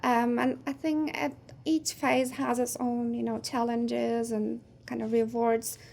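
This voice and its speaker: A woman in her thirties, a native Turkish speaker, talks over a video call in an easy, thoughtful way, often pausing on "um". Her voice sits fairly high with a gravelly fry at the ends of phrases. A faint hiss of noise runs underneath. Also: flat delivery. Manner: thoughtful, soft-spoken with frequent "um"s. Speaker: guest, game producer. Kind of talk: casual interview chat.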